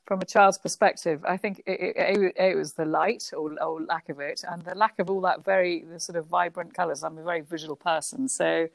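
Chatty woman, lively anecdotes, dry sound. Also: lowish voice, brisk, gravelly, hardly any pauses, dry recording. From Kenya. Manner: lively, laughs often, many restarts. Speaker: guest, company founder. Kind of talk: casual conversational interview.

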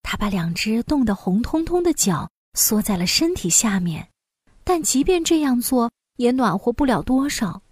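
A woman with a fairly high voice narrates a fairy tale for young children. Her storytelling is expressive and scripted, and the recording is close and dry. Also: moderate pace, slightly rough, no echo.

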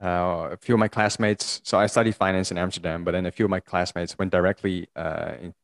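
A man with a low voice hosting a podcast interview. He talks casually and loosely, often restarting his sentences. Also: fairly even intonation, fairly quick. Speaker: podcast host.

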